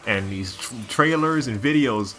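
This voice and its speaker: A man's voice: medium pitch, animated, measured pace, fairly bright tone, slight background noise. A man doing casual gameplay commentary as a co-host, rambling and opinionated like a devoted fan. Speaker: gaming video co-host.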